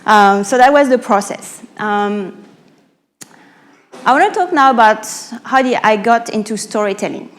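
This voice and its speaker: High-voiced French-accented woman presenting. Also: a little slow. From Normandy, France. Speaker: speaker, author and pitch coach. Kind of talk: live public talk to an audience.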